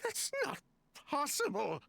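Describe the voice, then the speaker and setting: Game role: grief-stricken man, tearful, high and creaky, slow broken delivery, wide swings. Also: huge pitch swings, bright, forceful tone, dry recording, exaggerated voice. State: crying. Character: distraught grieving man pleading over his fallen brother. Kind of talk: video game voice acting.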